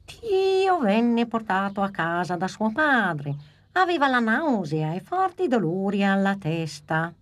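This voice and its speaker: Woman narrator, children's cartoon, calm measured delivery. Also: mid-range voice. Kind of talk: scripted storybook narration.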